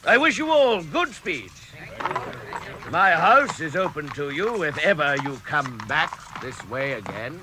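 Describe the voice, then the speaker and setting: Hearty, swooping giant of the wilds. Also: male voice, very animated, a little slow, noisy background. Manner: booming, emphatic, swooping from hearty greetings to grave warnings. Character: hearty, gruff giant man, wary host of the wilds. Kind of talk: vintage radio drama acting. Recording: vintage radio drama with animal sounds behind.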